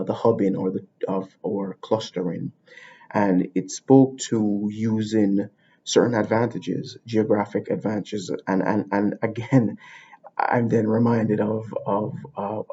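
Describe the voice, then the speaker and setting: A man's voice: lowish voice, creaky, dark tone, breathy edge. A man from Jamaica, hosting a podcast and explaining ideas in an unscripted monologue, thinking aloud and circling back over his words. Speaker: podcast host. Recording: dull lo-fi recording.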